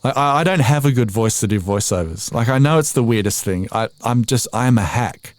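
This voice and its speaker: A man's voice: mid-range voice, expressive, brisk, no echo, clean studio sound. An Australian man in his forties, a voiceover artist talking casually as a podcast guest, chatty and self-deprecating with frequent restarts and laughs. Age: 40s. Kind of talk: casual interview chat.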